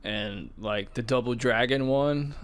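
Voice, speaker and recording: A man's voice: mid-range voice, measured pace, clear, resonant tone. A man hosting a podcast, chatting casually and giving slangy, emphatic opinions. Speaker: podcast host. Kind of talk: casual chat about movies and games.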